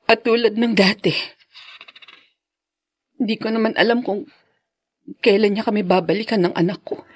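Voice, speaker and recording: A woman's voice: medium pitch, fairly even intonation, moderate pace, pauses often, husky, no echo, natural voice. An actress playing an anguished young wife and mother in a radio drama, tearful and pleading. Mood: subdued, hurt. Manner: tearful, pleading, emotionally overwrought. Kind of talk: Filipino radio drama acting.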